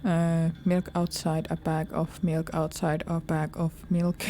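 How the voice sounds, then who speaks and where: A woman doing let's play commentary, reading game text aloud in a soft-spoken voice that often turns whispery. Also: deep voice, flat delivery, measured pace, a little hiss or hum. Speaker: video game streamer. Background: game music.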